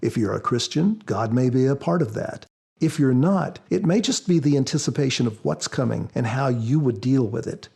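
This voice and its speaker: A man speaking as an author on a podcast, explaining things in a measured, reflective way. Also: mid-range voice, expressive, gravelly, dark tone, breathy edge. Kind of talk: measured explanatory talk with scripture readings.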